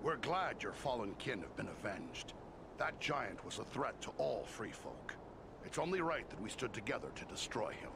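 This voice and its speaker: An actor playing an earnest, honourable adventurer in a fantasy game, speaking plainly and sincerely. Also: male voice, mid-range voice, big pitch swings, normal speed, noisy background. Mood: resolute, sincere. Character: earnest male fantasy hero, sturdy and honourable. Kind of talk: scripted fantasy game dialogue.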